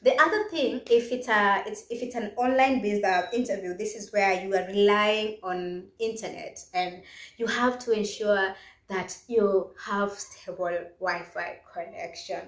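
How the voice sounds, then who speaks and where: A woman's voice: medium pitch, unhurried. A woman with a Kenyan accent giving casual, instructional career advice as a video host, stressing her points emphatically. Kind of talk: casual instructional vlog talk. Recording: echoey, roomy sound.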